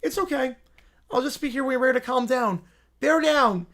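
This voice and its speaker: A man's voice: very high-pitched, moderate pace, fairly bright tone, voice pushed up. A man voicing a pleasant little brown bear who is relentlessly upbeat and positive. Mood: soothing.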